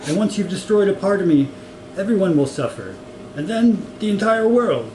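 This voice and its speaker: Guy doing a petulant mad scientist from a comic storybook, pitched up n emphatic, echoey noisy room. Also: animated, moderate pace. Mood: melodramatic. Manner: grandiose, emphatic. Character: excitable male mad scientist, grandiose and petulant. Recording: echoey room with clear noise.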